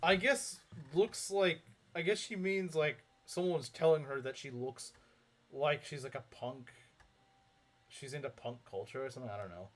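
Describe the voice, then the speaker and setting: A man's voice: mid-range voice, normal speed, fairly bright tone. A young man streaming a game, chatting casually with viewers and rambling through his thoughts with lots of filler words and frequent chuckles. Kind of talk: casual live-stream commentary reading chat.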